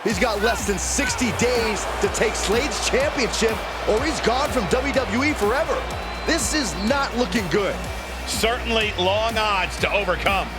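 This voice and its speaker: A man playing an excitable, dramatic TV wrestling commentator in a video game. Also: sits high, a little slow. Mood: dismayed. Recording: loud music and arena noise behind.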